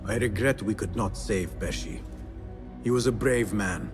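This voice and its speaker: An actor playing an earnest, reflective young assassin in a video game, speaking in a measured way. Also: male voice, fairly low pitch, music in the background, lightly acted. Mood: somber. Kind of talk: cinematic video game acting.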